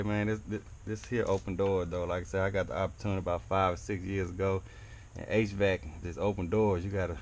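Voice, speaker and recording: A man's voice: deep voice, darkish tone, slight background noise. A young man working as a HVAC technician, talking casually and encouragingly while he works in a walk-in cooler, recorded on a head-mounted camera. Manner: laid-back, chatty, encouraging. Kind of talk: casual work vlog talk.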